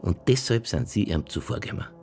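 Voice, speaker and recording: Gravelly Bavarian police detective. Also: male voice, lowish voice, animated, fast, darkish tone, faint music behind, exaggerated voice. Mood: accusing. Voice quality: gravelly, husky. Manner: dry, needling, insistent. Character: dogged, sardonic male police detective interrogating a suspect. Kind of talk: naturalistic radio drama dialogue.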